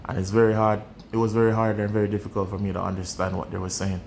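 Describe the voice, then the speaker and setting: A man's voice: on the low side, monotone, fast, creaky, a little mellow, slight background noise. A young Jamaican man with a Jamaican accent, talking candidly and bluntly in a casual vlog and often repeating his phrases. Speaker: vlogger. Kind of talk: casual personal storytelling.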